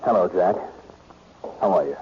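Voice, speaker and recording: A man's voice: low-pitched, moderate pace, natural voice. An American actor playing an earnest, anxious young male attorney in a 1940s radio drama. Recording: muffled old radio recording, loud hiss.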